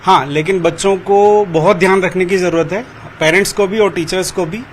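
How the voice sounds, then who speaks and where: Very high-voiced man giving an opinion. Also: steady tone, a little fast. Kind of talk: unscripted news interview. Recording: location interview with faint ambient noise.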